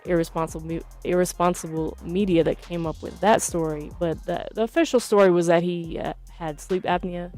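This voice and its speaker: Female author interviewee, lowish pitch, measured and hesitant explanations, soft music behind. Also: steady tone. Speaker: journalist and author being interviewed. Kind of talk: interview answers.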